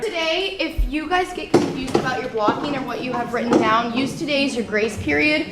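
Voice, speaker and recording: A high-voiced woman coaches actors through a rehearsal, animated and direct. She sounds far from the mic in an echoey room, with music playing underneath. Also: fairly quick, heavy background noise. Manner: animated, directive, laughs often. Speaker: drama teacher.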